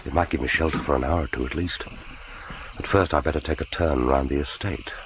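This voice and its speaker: An actor in a radio drama playing a grim, vengeful spy in brooding, sardonic inner monologue. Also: male voice, very deep voice, a little fast. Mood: wary, sardonic. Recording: noisy radio drama recording.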